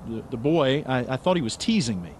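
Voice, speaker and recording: A man's voice: medium pitch, lively intonation, a little fast, a little mellow, close to an everyday voice. Radio drama: man acting naive amateur sleuth, wry and stubborn, swinging intonation, slight background noise. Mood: uneasy.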